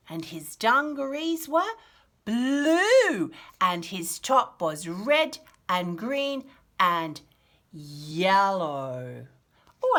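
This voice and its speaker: Lively, bright-voiced Australian woman reading to toddlers. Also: fairly high pitch. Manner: animated, sing-song, questioning child-directed talk. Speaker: children's picture book read-aloud host. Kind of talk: reading picture books aloud to toddlers, chatty asides.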